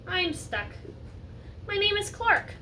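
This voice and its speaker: A storybook elephant, acted out by a woman, hapless and loud. The voice is very high, with exaggerated exclamations. It sounds set back from the mic, with slight noise around it. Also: sing-song, takes it slowly, full character voice. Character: loud stuck male elephant, hapless and apologetic. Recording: distant mic, faint background noise.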